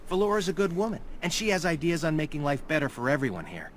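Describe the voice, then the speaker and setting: A man's voice: a little high, sing-song, fast, a little hiss or hum. A man playing a grateful, earnest young elf in a fantasy video game. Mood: grateful, warm.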